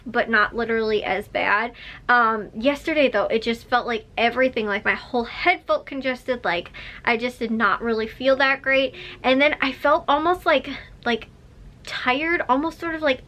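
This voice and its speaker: Bright-voiced chatty young woman vlogger. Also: fairly high pitch. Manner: rambling, chatty, full of fillers and laughs. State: slightly rough voice after coughing. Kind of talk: casual chat to camera.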